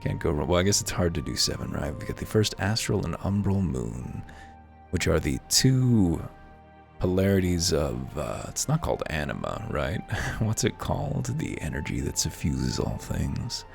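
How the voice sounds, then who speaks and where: A man's voice: deep voice, sing-song, moderate pace, vocal fry, soft background music. A man hosting a let's-play, reading game lore aloud and breaking off for dry, wry asides.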